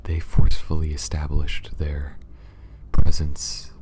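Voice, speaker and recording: A man playing a gentle, reassuring, slightly awkward alien starship dweller in a soft, half-whispered, halting ASMR roleplay. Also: extremely low pitch, completely flat delivery, noisy background. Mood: hushed reassurance. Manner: hushed, halting, soothing. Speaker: character in audio roleplay.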